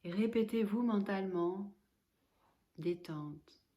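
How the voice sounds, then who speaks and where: Female yoga nidra guide, mid-pitched, very slow delivery, calm and measured, leaving space between instructions. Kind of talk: guided relaxation meditation, spoken instruction.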